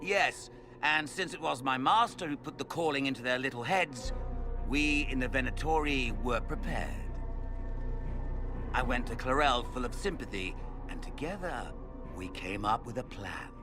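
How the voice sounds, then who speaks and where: An actor playing a smug, theatrical villain, a cultist mage lord with a pompous, mocking manner in a video game cutscene. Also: male voice, medium pitch, animated, normal speed, music in the background, lightly acted. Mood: gloating.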